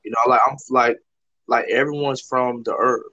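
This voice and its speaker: A man from Toronto talks through big ideas in an earnest, rambling way and leans on "like" fillers. His voice is pitched in the middle. The recording is dry and a bit dull and lo-fi. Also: steady tone, moderate pace, bright, forceful tone, dry recording. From Toronto, Canada. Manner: rambling, heavy use of "like" fillers; earnest, explanatory. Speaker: podcast host. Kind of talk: casual conversational discussion. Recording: slightly dull lo-fi audio.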